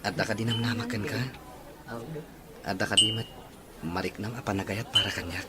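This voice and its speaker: A man acting a sincere young working man in love in a radio drama, soft-hearted and earnest. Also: on the low side, steady tone, fairly quick, heavy background noise, natural voice. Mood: earnest, pleading.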